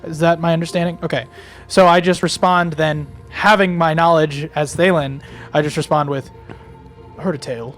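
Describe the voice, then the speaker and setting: A man's voice: a little high, steady tone, normal speed, fairly bright tone, soft background music. A man with a British accent talking casually as a player in a tabletop roleplaying game.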